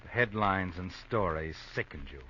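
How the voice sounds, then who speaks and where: A man's voice: low-pitched, lively intonation, sharp tone, slight background noise. An actor playing a stern, knowing narrator who tells a woman her own story in dramatic old-time radio style. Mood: ominous. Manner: deliberate, ominous, knowing. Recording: dull vintage radio recording.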